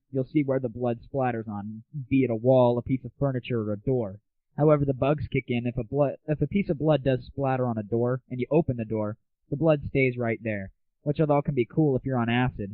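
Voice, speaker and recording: Young man, American accent, casual comic reviewer, irreverent, mid-pitched, tinny narrow audio. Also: no room sound. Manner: irreverent, sarcastic, chatty. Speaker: host of an online gaming audio show. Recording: tinny, phone-like low-bandwidth audio, very dry.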